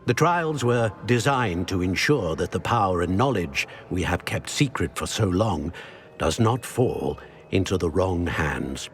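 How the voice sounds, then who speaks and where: Deep, solemn ancient wizard keeper. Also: male voice, animated. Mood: solemn, grave. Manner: formal, measured, grave. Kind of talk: video game cutscene acting. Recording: music behind.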